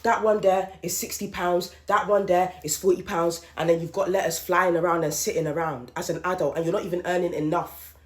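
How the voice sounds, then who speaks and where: A woman's voice: on the low side, steady tone, brisk, hardly any pauses. A woman, hosting a podcast and venting her thoughts in a candid solo monologue, with emphatic repetition. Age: late 30s. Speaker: podcast host. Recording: roomy echoey room.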